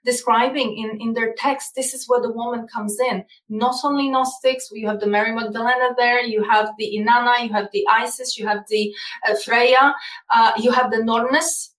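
A woman's voice: fairly high pitch, fairly even intonation, normal speed, a bit bright, reverberant, recorded from a distance, poor audio. A woman with a Polish accent, talking as a guest in an interview, explaining earnestly and often repeating words. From Poland. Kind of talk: casual interview talk.

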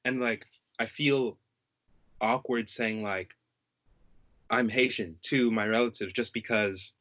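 A young American man, a college student, speaking thoughtfully in casual conversation, halting often with "like". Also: on the low side, steady tone, a bit bright, lo-fi sound. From United States. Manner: thoughtful, halting, frequent likes.

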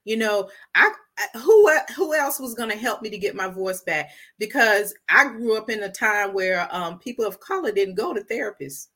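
A woman's voice: medium pitch, animated, normal speed, few pauses, sharp tone. A woman guest on an interview podcast, talking earnestly and animatedly about her life and work as a storyteller. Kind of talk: casual interview talk.

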